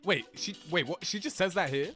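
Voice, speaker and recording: A young man giving casual, excitable reaction commentary as a game streamer, chatty and full of slang. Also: high-pitched, brisk, music in the background.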